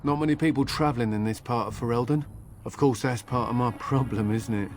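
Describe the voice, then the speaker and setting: A man's voice: mid-range voice, fairly quick, soft background music, close to an everyday voice. An actor playing a hapless, nervous travelling merchant in a fantasy game, rambling and questioning himself as he talks. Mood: nervous, exasperated. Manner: flustered, rambling, self-questioning. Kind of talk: video game dialogue acting.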